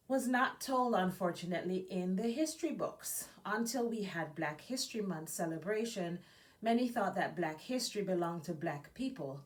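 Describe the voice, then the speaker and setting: A woman from Jamaica, an education nonprofit director, reading aloud for a children's story time with informal asides. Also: lowish voice.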